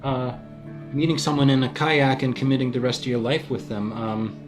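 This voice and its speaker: A man acts out a self-important boyfriend in an improvised comedy scene. He is deadpan yet defensive and halting, in a medium-pitched voice. Music plays behind him. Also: natural voice. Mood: awkward, resigned.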